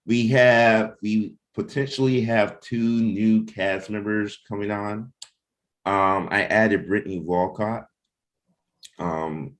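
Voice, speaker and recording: Very deep-voiced man, casual and hesitant. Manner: informal, hesitant, thinking aloud. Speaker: host and comic creator leading a cast meeting. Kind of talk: casual livestream team chat.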